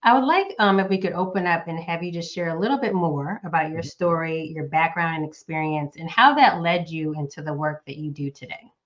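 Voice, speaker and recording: A woman in her forties from the Northeast United States, hosting a podcast interview in a measured, welcoming way. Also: sits low, a little hiss or hum. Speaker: podcast host. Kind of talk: scripted, friendly interview hosting. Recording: muffled lo-fi audio.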